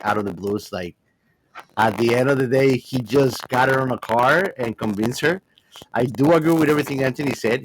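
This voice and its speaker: A man in a casual film discussion, giving blunt opinions in a halting, wordy way with some swearing. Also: mid-range voice, background noise. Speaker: podcast co-host discussing a film.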